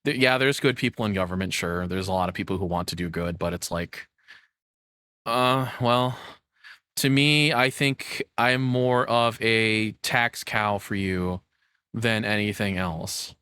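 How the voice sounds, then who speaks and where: A man's voice: fairly low pitch, a little slow, pushed and bright, smooth, dry recording. A man giving casual, rambling reaction commentary in a sarcastic, cynical manner with frequent swearing. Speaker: streamer reacting to a video.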